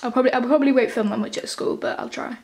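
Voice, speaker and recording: Teen girl vlogger, croaky from a cold, a touch higher-pitched, chatty and rambling. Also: fairly even intonation, rapid-fire, loud music behind the voice. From England. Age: teens. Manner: chatty, rambling with many fillers. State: croaky from illness. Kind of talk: casual vlog chat. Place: at home. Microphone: handheld phone, then camera.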